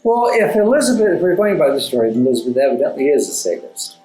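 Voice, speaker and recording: A man speaking as an interview guest, explaining and telling stories in a thoughtful, halting way with emphatic asides. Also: fairly high pitch, sing-song, mellow. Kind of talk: conversational storytelling and explaining in an interview. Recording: roomy echo, music underneath. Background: classical background music.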